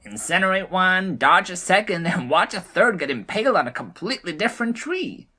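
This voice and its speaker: A woman's voice: lowish voice, very animated, brisk, sharp tone, slightly rough, pitched above the performer's own voice, full character voice. A woman voicing a gleeful, mischievous male gnome arsonist in a fantasy audio drama, with a cocky, taunting manner.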